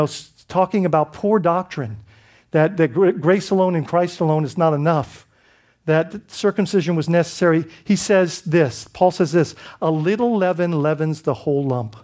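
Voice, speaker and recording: A man's voice: on the high side, normal speed, darkish tone. A man from the Bahamas preaching a sermon as pastor, in a conversational style with many restarts and rhetorical questions.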